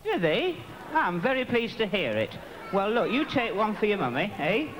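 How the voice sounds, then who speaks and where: Kindly fussing stage dame, played by a man; bouncy, animated pitch, crackly noisy recording. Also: moderate pace. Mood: coaxing. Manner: coaxing, fussing, animated with children. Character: kindly pantomime dame, middle-aged woman. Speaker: pantomime dame with children on stage. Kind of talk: live stage comedy.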